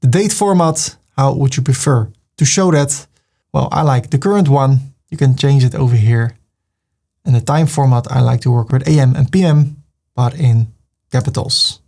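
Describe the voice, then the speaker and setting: Male tutor, mid pitch, patient click-by-click screen-tutorial narration. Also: moderate pace, creaky. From Netherlands. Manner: calm, step-by-step, narrates each click. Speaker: web design tutor. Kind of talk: screen-recorded software tutorial.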